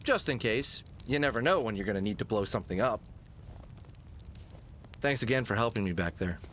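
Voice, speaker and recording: A man's voice: on the low side, expressive, brisk, a little hiss or hum. A man playing a plucky, quippy fox adventurer in a cartoon audio drama, with a brash delivery. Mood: cocky, easygoing. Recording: loud music behind.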